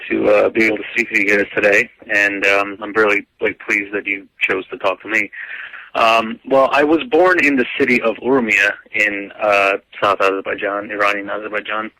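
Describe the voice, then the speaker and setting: A man, answering interview questions in a relaxed way, full of "um" and "like" fillers. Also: fairly low pitch, moderate pace, no echo, low-quality recording. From United States (grew up). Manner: easygoing, lots of "um" and "like" fillers. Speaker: guest.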